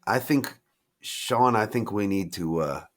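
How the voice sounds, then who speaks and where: A man's voice: fairly low pitch, a little slow. A man playing in a tabletop game, improvising his lines in character.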